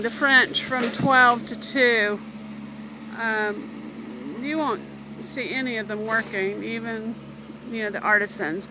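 Chatty woman filming outdoors by a digger. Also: medium pitch. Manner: chatty, many fillers and "you know". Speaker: homeowner filming renovation. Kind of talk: casual narration while filming. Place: outdoors at a building site. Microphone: phone camera held by the narrator. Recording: outdoor phone video with loud machinery. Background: digger engine noise.

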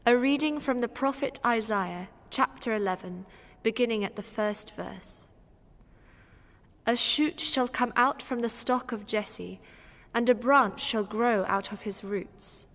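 A woman's voice: fairly high pitch, measured pace, a bit bright. A woman giving a formal reading of scripture. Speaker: scripture reader. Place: large church. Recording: reverberant church, long echo.

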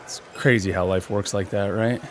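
A man playing a bitter, grieving soldier recording a personal audio log in a halting, emotional way for an audio drama. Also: on the low side, steady tone, close to an everyday voice. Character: grieving, bitter, despairing male soldier in therapy.

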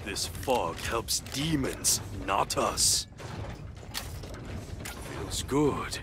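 An actor playing a fierce, honourable demon-slaying warrior in a video game, barking terse broken phrases. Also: male voice, lowish voice, animated, extremely slow, background noise, heavily acted. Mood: tense, watchful. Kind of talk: video game combat barks. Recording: game audio over gunfire and ambience.

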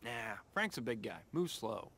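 A man with an American accent voicing a cocky, upbeat young race car in an animated game, breezy and confident. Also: medium pitch, moderate pace, husky, exaggerated voice. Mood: scheming, cocky. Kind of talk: animated video game acting.

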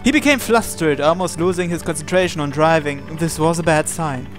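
A man with a fairly high voice reads story narration from a game aloud, slipping into casual asides as a channel host. Game music plays behind him. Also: brisk.

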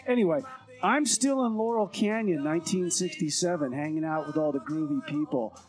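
A man's voice: a little high, a little fast, faint music behind, close to an everyday voice. A man playing a groovy, easygoing time traveler leaving a casual message in a comedy radio skit. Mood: breezy. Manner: casual, hip slang.

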